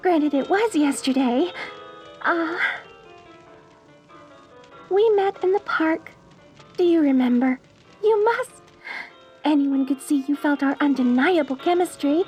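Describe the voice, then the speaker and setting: A deranged, lovesick mortician bride in a dark comedy audio drama. Her voice sits extremely high, with music playing behind it. She gushes and coos in a genteel, theatrical way, sweet on the surface and menacing beneath. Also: expressive, takes it slowly, pauses often. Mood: playful, adoring. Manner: theatrical, cooing, genteel and gushing with endearments. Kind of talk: dark comedy audio roleplay, addressed to the listener.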